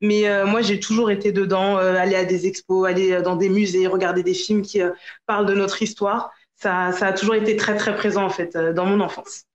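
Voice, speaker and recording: A woman guest answers casually, often circling back as she searches for words. The recording is muffled and clearly echoey. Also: mid-range voice, little pitch movement, fairly quick. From France, Paris region. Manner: hesitant, searching for words with repeated phrases. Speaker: podcast guest. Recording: echoey, dull lo-fi sound.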